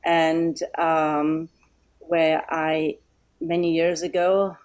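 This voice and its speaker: A middle-aged woman from Poland giving a heartfelt thank-you speech over a video call, pausing often with um and uh. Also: deep voice, little pitch movement. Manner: warm and grateful, many um and uh pauses. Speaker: event guest speaker.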